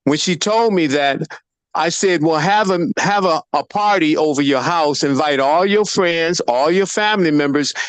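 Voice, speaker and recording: A man's voice: a little high, moderate pace, clean tone, no echo, rough recording. A man in his seventies on a video call, a breathing practitioner explaining his method and telling stories in an earnest way. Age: 70s. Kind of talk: casual chat.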